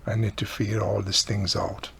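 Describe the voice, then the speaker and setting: A man's voice: on the low side, little pitch movement, normal speed, creaky, fairly bright tone, breathy edge. A man casually explaining a hands-on repair as he works, speaking unscripted with non-native-sounding grammar and often dropped articles. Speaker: radio repair hobbyist and host.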